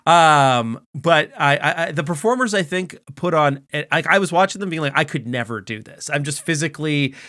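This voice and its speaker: A man's voice: a little high, animated, normal speed, hardly any pauses, bright, forceful tone. A man co-hosting a podcast, telling a casual, comedic personal story while a bit sick. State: sick with an illness. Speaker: podcast co-host.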